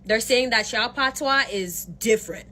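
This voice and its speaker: Animated Haitian woman vlogger. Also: on the high side, normal speed. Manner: animated, slangy, explains and exclaims. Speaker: vlogger reacting to clips. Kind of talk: casual reaction vlog.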